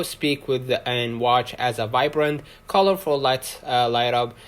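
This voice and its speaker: A man hosting a product video, reading scripted promotional copy in a halting, phrase-by-phrase way. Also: medium pitch, fairly bright tone. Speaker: product review video host.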